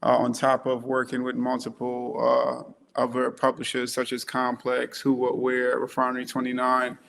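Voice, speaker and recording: A man from Trinidad in his thirties speaks on a panel in an unhurried, hesitant way, with plenty of fillers. His voice is gravelly and almost completely flat in pitch, barely rising or falling. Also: a little hiss or hum. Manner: relaxed, halting with many fillers. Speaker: panelist, influencer marketer. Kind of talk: casual panel introduction.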